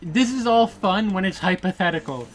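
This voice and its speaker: Low-pitched woman with wry banter. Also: animated, normal speed, crowd noise, low-quality recording. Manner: wry, teasing, skeptical. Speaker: co-host. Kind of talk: casual banter.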